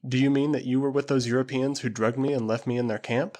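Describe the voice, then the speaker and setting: A man reading a novel aloud, voicing a calm jungle lord in a firm, level, commanding way. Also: mid-range voice, quick pace, close to an everyday voice.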